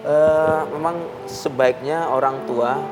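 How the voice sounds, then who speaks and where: A man speaking as a psychology guest expert on a talk show, explaining in a measured way with pauses and hesitations. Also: a little high, steady tone, music in the background, rough recording.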